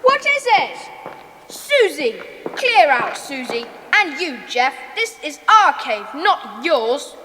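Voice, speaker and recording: An actress playing a decisive young boy who leads his gang in a children's audio drama, brisk and commanding. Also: high voice, very animated, pushed and bright, slight background noise, heavily acted. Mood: indignant. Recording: long hall-like reverb.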